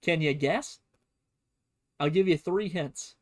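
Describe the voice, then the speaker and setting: A man's voice: on the high side, slow. A man streaming a casual, comedic history lesson, full of sarcastic and playful asides. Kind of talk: casual, comedic history lecture to a livestream audience.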